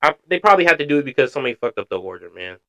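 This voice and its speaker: A man's voice: medium pitch, big pitch swings, racing, creaky, fairly bright tone. Slangy, blunt man reacting to videos. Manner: slangy, blunt, swears freely. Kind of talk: casual reaction commentary.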